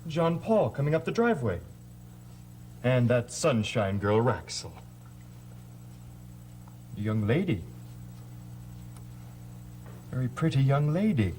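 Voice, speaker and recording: An actor playing a mocking grown son in a gothic soap opera, with a dry, sarcastic, declamatory delivery. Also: male voice, mid-range voice, very animated, a little slow, long pauses, darkish tone, slight background noise. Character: sardonic, mocking grown son of a wealthy family. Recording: old soap soundtrack with music underneath.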